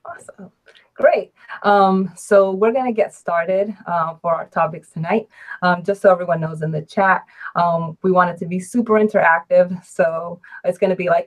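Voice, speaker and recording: A woman's voice: lowish voice, dull audio. Woman in her thirties hosting a live chat. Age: 30s. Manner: relaxed, hesitant with frequent ums. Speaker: host and adult advisor. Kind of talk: casual live-stream chat.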